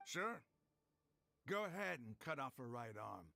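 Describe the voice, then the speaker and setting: An actor voicing a harsh but honorable desert bandit leader in a video game, commanding and taunting. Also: male voice, medium pitch, sing-song, unhurried, creaky, bright, forceful tone, exaggerated voice. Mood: demanding.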